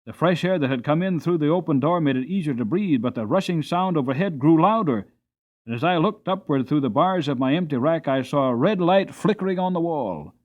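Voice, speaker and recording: A man reading a classic novel aloud in a dramatic, expressive storybook style, in a slightly dull recording. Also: on the high side, a little fast. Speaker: audiobook reader.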